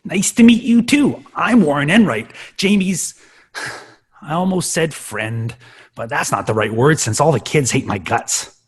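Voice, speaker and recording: An adult plays a nerdy, friendless schoolboy for a sitcom read. The voice is husky and swings widely in pitch. He is earnest, awkward and quick to run himself down. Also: lively intonation. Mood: glum, self-deprecating. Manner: eager, awkward, self-deprecating.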